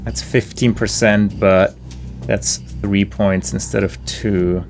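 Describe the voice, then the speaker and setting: A man commentating his gameplay, thinking aloud as he weighs options, with pauses and fillers. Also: lowish voice, steady tone, faint music behind. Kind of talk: thinking aloud while playing.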